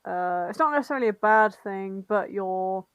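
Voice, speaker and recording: A woman's voice: medium pitch, animated, moderate pace, no echo, highs cut off. Woman, giggly and dryly recorded. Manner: giggly, irreverent, rambling. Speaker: podcast co-host reading and summarising fan fiction. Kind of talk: casual comedic storytelling chat.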